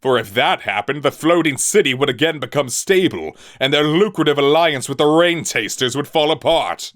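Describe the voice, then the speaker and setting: A man's voice: on the high side, lively intonation, normal speed, dry recording, clean studio sound, voice pushed up. A man voicing a proud sky-ship captain who bellows and scoffs at a mutineer. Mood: angry commanding. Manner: loud, scornful and commanding.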